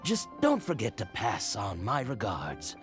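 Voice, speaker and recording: Game shopkeeper: devout and humble, slow delivery with animated melody, earnest, music behind. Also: male voice, fairly low pitch. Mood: worried, generous. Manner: earnest, deferential. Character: humble, devout flower merchant, earnest and polite. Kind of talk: video game voice acting.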